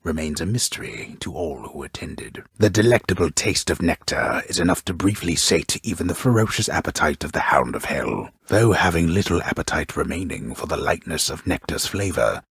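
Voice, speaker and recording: An actor playing a solemn, wry storyteller of underworld myths in a video game, with grandiose, measured delivery and a gravelly, husky voice. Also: male voice, low-pitched, animated, fairly bright tone, dry recording, studio quality, full character voice. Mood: solemn, dryly wry. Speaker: storyteller narrator. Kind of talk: scripted dramatic video game narration.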